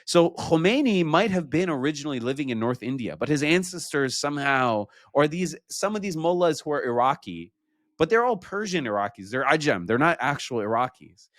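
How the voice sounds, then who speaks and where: Animated man talking in a dry room. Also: fairly high pitch, dry recording. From Iran. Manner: animated, mimics other people in quick asides. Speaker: guest. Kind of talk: casual conversation.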